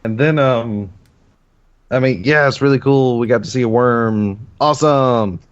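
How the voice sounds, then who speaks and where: A man's voice: medium pitch, a little slow, dry recording, dull audio. A man co-hosting a casual gaming podcast, rambling with many fillers and restarts. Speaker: podcast co-host.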